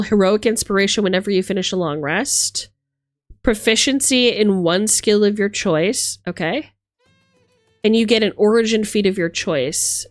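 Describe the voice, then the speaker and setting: Animated woman streamer with very lively pitch. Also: no room sound. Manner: animated, theatrical, reacting to chat as she reads. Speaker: streamer host. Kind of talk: casual livestream chat with reading aloud from a rulebook. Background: music.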